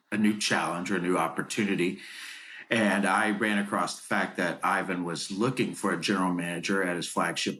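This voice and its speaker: A man's voice: sits low, sharp tone. A man who runs franchise operations, answering interview questions in an earnest, businesslike way.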